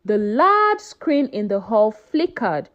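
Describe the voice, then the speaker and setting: A woman narrating a folktale as a scripted story, with an expressive, dramatic delivery. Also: on the high side, slow pace, highs cut off.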